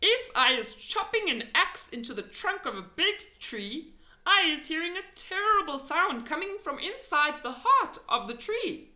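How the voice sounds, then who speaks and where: A woman puts on a big friendly giant from a storybook, earnest and full of wonder. The speech is slow and emphatic, in muddled folksy grammar, and swings widely in pitch. The room rings around the voice. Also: very animated, bright, forceful tone, reverberant, exaggerated voice. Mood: solemn.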